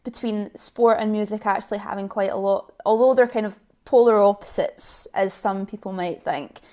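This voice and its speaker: A woman's voice: mid-range voice, fairly even intonation, moderate pace, a little mellow. A young woman from Edinburgh hosting a podcast, chatty, with lots of likes and kind-ofs. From Edinburgh, Scotland. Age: young adult. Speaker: podcast host. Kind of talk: casual interview.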